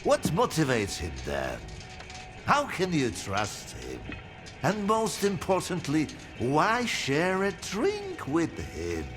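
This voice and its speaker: Tipsy eccentric scientist, sardonic, very theatrical intonation, slow rambling drawl, game dialogue over music. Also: male voice, on the high side, huge pitch swings, full character voice. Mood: tipsy musing. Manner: drawling, rambling, musing, with frequent hums. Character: eccentric boozy male ex-scientist, sardonic and philosophical.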